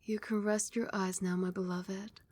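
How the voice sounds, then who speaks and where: A woman's voice: medium pitch, flat delivery, normal speed, close to an everyday voice. Woman playing a whispering vampire queen. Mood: tender. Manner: soft whispering, soothing. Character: doting female vampire queen, tender, possessive. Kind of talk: ASMR roleplay. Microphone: close mic.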